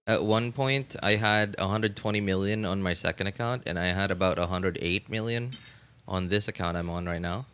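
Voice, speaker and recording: A man giving casual, halting gameplay commentary as a streamer, repeating himself as he thinks aloud. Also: sits low, a bit bright, slight background noise. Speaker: game streamer. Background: game music.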